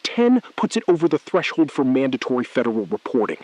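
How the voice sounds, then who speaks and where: A man's voice: medium pitch, sing-song, a little mellow, dry recording. Actor as a desperate, intense male bank robber. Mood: agitated, pleading. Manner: intense, halting, confrontational. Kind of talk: scripted audio thriller acting.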